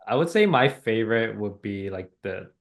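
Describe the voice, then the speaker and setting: A man's voice: lowish voice, lively intonation, moderate pace, vocal fry. A man hosting a podcast, asking loose, rambling questions and laughing often. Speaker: podcast host. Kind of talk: casual interview.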